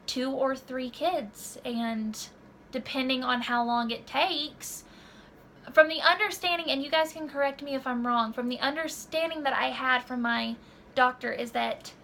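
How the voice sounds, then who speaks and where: A woman's voice: sits high, creaky, bright, forceful tone, slight background noise. A woman in her early twenties chatting casually to her camera at home, rambling with many fillers and restarts. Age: 20s. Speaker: vlogger. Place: home room.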